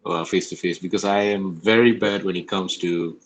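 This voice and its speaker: A man's voice: sits low, steady tone, moderate pace. A man talking casually as an actor being interviewed, with background noise around his voice.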